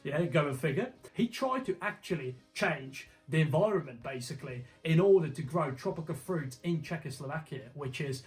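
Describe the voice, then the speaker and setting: An emphatic man presents an informal explainer over background music. His voice sits fairly high for a man, and he talks colloquially, tossing questions at the viewer. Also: lively intonation, normal speed, few pauses. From Slovakia. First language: Slovak. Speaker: video host. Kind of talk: informal explainer narration to camera.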